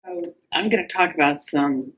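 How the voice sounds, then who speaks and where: A woman's voice: sits low, moderate pace, a bit bright, poor audio. A middle-aged or older woman co-hosting a casual, conversational book recommendation show. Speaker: co-host.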